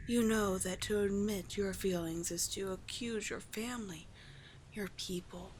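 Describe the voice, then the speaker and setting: A woman playing a cunning, doting sea witch in an ASMR roleplay, breathy and measured, with coaxing, condescending endearments. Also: lowish voice, natural voice, low-quality recording. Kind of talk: ASMR roleplay, scripted monologue. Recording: clear steady background ambience noise.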